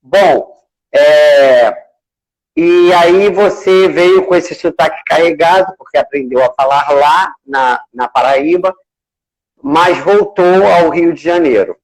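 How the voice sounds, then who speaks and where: A man's voice: fairly high pitch, slow, low-quality recording. A man hosting a casual live chat, enthusiastic and full of hesitant restarts. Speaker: host.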